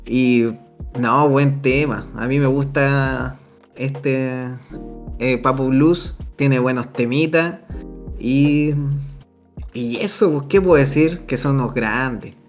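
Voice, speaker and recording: A man's voice: medium pitch, takes it slowly. A young man with a Chilean Spanish accent chatting casually about music as a podcast co-host, enthusiastic and full of slang and fillers.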